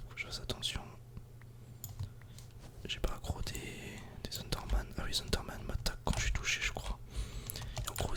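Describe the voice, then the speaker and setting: Whispering man, ASMR gaming commentary. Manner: soft-spoken, casual, informal. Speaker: video game streamer.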